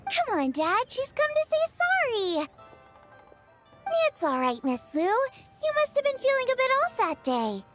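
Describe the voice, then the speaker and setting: A woman's voice: very high voice, huge pitch swings, a little slow, over loud music, exaggerated voice. A woman voicing a cheerful, confident young girl chef in a video game, chatty, bubbly and reassuring. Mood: upbeat, friendly. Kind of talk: English video game voice acting.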